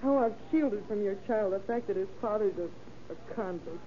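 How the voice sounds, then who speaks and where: A dull, faintly hissy old radio recording carries an actress as a long-suffering wife and mother. She is weary and reproachful, scolding in earnest, dramatic 1930s melodrama style at a medium pitch. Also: lively intonation, normal speed, mellow, lightly acted. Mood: ashamed, scolding.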